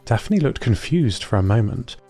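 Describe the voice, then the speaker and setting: A man voices an observant robot storyteller in scripted audio fiction. The delivery is precise, literal and earnest at a middle male pitch, with music underneath. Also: very animated, normal speed. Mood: measured, observant. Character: robot narrator recounting human behaviour, observant and earnest.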